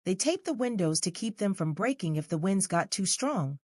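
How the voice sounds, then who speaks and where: A woman's voice: medium pitch, lively intonation, moderate pace, a little mellow, no echo, clean studio sound. A woman reading scripted narration for a children's story as the narrator.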